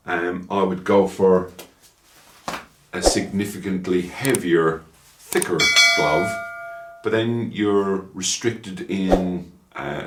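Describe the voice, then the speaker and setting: Irish guy in his 50s, low voice, chatty rambling gear review, roomy echo at home. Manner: chatty, rambling, dry asides. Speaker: motorcycle vlogger. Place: small room at home, indoors. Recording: echoey small room.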